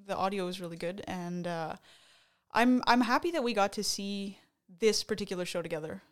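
A Canadian woman co-hosts a casual podcast. She talks in an easy, chatty way, full of fillers and restarts, at a middling pitch. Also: moderate pace. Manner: chatty, hesitant with many fillers. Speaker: podcast co-host. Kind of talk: casual chat.

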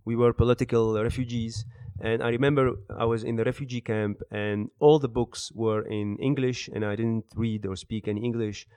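A man in his thirties from Iraq and the Netherlands, answering interview questions in a halting, word-searching way. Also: mid-range voice, steady tone, a little mellow, clean tone, dry recording. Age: 30s. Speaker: interview guest, author and business speaker.

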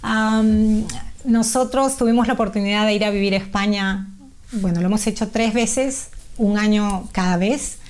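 A woman's voice: mid-range voice, normal speed, darkish tone. A middle-aged Peruvian woman with a Peruvian Spanish accent, a guest chatting casually in an interview, with frequent fillers and restarts. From Peru.

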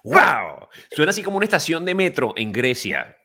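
A man co-hosts a loose comedy podcast. His pitch moves around a lot, and he keeps throwing in quick, playful jokes. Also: huge pitch swings, pushed and bright. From Venezuela. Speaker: comedian and co-host. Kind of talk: casual podcast chat.

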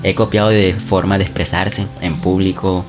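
Male podcast host, low voice, talks straight to the listener, music underneath. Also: flat delivery, moderate pace. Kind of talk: self-help podcast monologue. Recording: music bed behind the voice.

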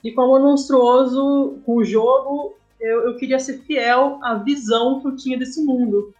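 A woman's voice: high-pitched, steady tone, measured pace, a little mellow, muffled recording, faint music behind. A Brazilian woman speaking as a guest in a casual podcast interview, explaining her work and often checking in with short tag questions. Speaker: guest, comic artist and game writer.

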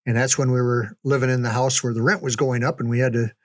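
An older man reminiscing in a casual, folksy way, unhurried, with pauses for emphasis. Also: mid-range voice, fairly even intonation, no echo, clean studio sound. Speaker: podcast storyteller, reminiscing father. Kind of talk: casual conversational storytelling.

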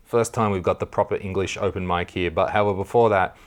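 A man's voice: sits low, steady tone, quick pace. A man hosting a casual chat in a hotel room, swearing and telling mock-exasperated jokes. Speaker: comedian host.

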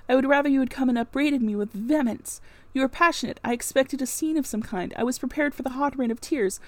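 A woman reading aloud, voicing a brooding middle-aged gentleman who pleads earnestly with his beloved. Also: sits high, dry recording.

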